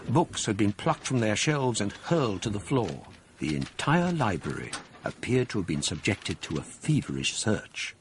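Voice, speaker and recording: A man narrating his own past in a measured, storytelling way, as in a radio drama. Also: lowish voice, sing-song, slight background noise. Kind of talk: scripted first-person narration in a radio drama.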